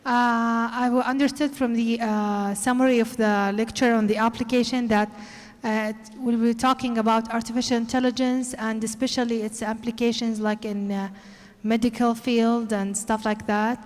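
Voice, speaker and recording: Hesitant higher-voiced woman in a hall. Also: little pitch movement, moderate pace, darkish tone. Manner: hesitant, searching for words. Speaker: audience member asking a question. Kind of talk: spontaneous audience question. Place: large conference hall. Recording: reverberant conference hall.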